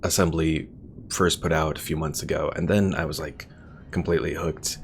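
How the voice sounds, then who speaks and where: Low, creaky-voiced male game streamer. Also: fairly even intonation, moderate pace, slight background noise. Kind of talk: casual live game commentary. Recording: faint music behind the voice.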